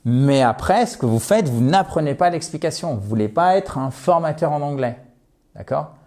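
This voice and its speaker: A French man teaching English in a video lesson. He spells out English words slowly and stresses them, and he often checks in with "ok?". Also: on the high side, animated, darkish tone. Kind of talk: video lesson, explaining to viewers.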